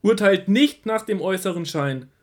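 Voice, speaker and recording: A man playing an earnest, commanding male teacher preaching in an audio drama, declamatory and deliberate. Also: sits high, lively intonation. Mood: firm, challenging.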